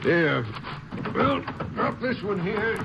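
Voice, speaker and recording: An actor playing a watchful rancher father, quick to anger, in a 1950s western radio drama. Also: high voice, sing-song, creaky, dull audio, full character voice. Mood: watchful, puzzled. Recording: noisy vintage radio recording.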